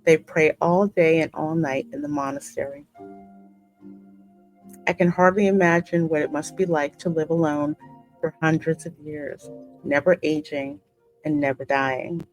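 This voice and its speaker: A woman's voice: deep voice, measured pace, rough recording. A woman hosting a game playthrough, reading the player character's lines theatrically and reacting casually between them. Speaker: gaming host voicing the player character. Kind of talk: dramatic script reading mixed with casual reactions. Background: game music.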